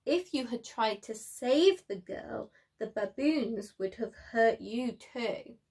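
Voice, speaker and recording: A woman voicing a wise, gentle father lion, reassuring, in a children's storybook. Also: a little high, expressive, slow pace, husky, exaggerated voice.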